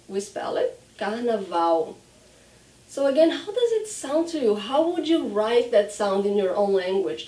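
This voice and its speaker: Casting: female language teacher, Brazilian accent, native Portuguese, soft warm tone, demonstrative enunciation, recorded at a distance from the mic. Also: mid-range voice. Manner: clear demonstrative enunciation, repeats example words. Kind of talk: instructional pronunciation lesson. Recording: roomy echo, distant microphone.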